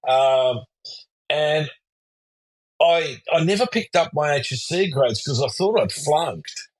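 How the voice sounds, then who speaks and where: A man in his sixties from Austria, a psychologist guest in an interview, telling his life story in a relaxed, rambling, self-deprecating way. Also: on the high side, slow, breathy edge, rough recording.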